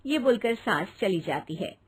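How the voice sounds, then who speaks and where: A woman's voice: mid-range voice, animated, unhurried, slightly rough. A woman reading a scripted moral story as its narrator.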